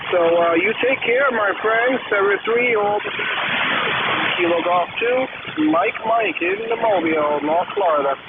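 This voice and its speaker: A man's voice: sits high, lively intonation, measured pace. A man chatting in a friendly, informal way as a mobile caller over amateur radio. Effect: two-way radio transmission. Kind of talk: casual ham radio chat. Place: in a vehicle, mobile station. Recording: tinny mobile radio, very loud noise.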